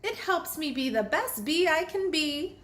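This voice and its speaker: Woman as elderly queen bee, storybook character, relaxed, encouraging, high and lilting. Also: unhurried, echoey room. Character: old queen bee, relaxed, wise, kindly.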